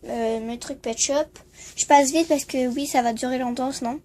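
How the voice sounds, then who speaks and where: Quebecois girl, kinda high voice, stuffy cold, lots of euh and voilà. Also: fairly even intonation, moderate pace, warm tone. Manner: hesitant, many fillers and trailing voilà. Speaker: vlogger. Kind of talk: casual room-tour vlog. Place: bedroom at home.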